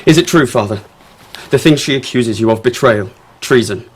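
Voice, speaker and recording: A man's voice: medium pitch, normal speed, husky, close to an everyday voice. An actor in a radio drama playing an earnest young son, hurt and accusing as he confronts his father. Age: young adult.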